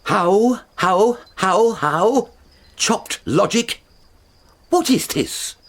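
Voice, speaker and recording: A man's voice: high voice, animated, drawn-out words, slight background noise, full character voice. An actor playing a furious, domineering older nobleman father in a Shakespearean radio drama, with a British accent and explosive, theatrical delivery. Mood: furious, scornful.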